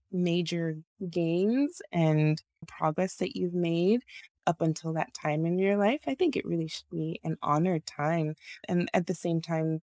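Low gravelly woman, thoughtful guest. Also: warm tone, dry recording, studio quality. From Nigeria. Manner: thoughtful, reflective, laughs often. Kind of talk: conversational interview.

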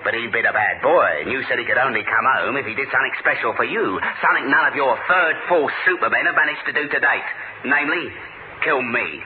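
An actor playing a cocky, sardonic British secret agent in a 1970s radio drama, talking in a casual, dry, needling way. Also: male voice, medium pitch, animated, brisk, close to an everyday voice. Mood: mocking. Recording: noisy narrowband 1970s radio recording.

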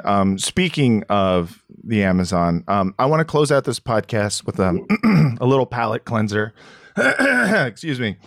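A man's voice: on the low side, no echo, clean studio sound. A man as a podcast guest, sarcastic and rambling, with lots of fillers. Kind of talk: casual podcast chat.

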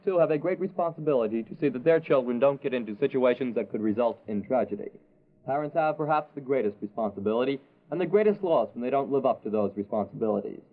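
A man reading a scripted 1950s radio safety message in an earnest, formal manner. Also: mid-range voice. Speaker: public service announcer. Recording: tinny, band-limited old radio recording.

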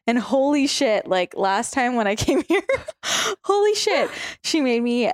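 A woman's voice: fairly high pitch, sing-song, a little slow, no echo, studio quality. A woman hosting a podcast in casual chat with a friend at home, bubbly, laughing often and swearing lightly. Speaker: podcast host.

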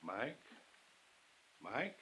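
An actor playing a menacing, impatient crook boss, curt and commanding in a 1950s radio drama. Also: male voice, medium pitch, sing-song, very slow, bright, forceful tone, a little hiss or hum, heavily acted. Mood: hushed, wary.

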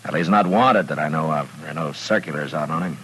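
A man acting a steady, dry frontier lawman with an American accent in an old radio western, speaking tersely on a noisy vintage recording. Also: very deep voice, quick pace. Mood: stern, calm. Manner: terse, deadpan, laconic.

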